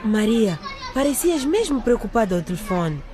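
A scheming teenage girl in a radio play. Her voice is fairly high and very animated, wheedling and bossy, with some voices in the background. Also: normal speed, crowd noise. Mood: smug, coaxing. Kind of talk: radio drama acting.

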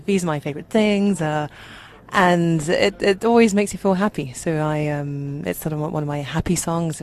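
A woman from Uganda, a journalist, chatting casually with lots of fillers while slightly hoarse from a cold. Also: low-pitched. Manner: warm, chatty, many fillers and restarts. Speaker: journalist guest. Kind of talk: casual chat.

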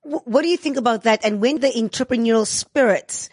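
A woman's voice: on the high side, a little fast, a little mellow, slightly rough, dry recording, studio quality. A woman hosting an interview, upbeat and encouraging, full of praise for her guest. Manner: effusive, encouraging. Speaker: interview host.

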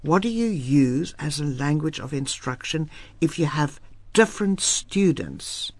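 Interview guest: South African woman, Afrikaans native, emphatic and lively, dry close mic. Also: low-pitched, a little slow, no echo. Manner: emphatic, lively, firm opinions. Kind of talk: radio interview.

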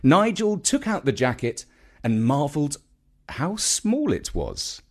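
A man with a British accent narrating a scripted children's story in a measured, expressive storytelling manner. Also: on the high side.

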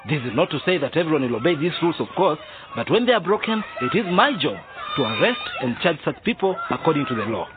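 A man's voice: on the high side, big pitch swings, fast talker. A man playing a genial but firm police sergeant and father, teaching boys in a radio drama, chuckling and hesitating. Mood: genial, instructive. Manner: chuckling, didactic, hesitant fillers. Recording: noisy, tinny phone-like radio drama recording.

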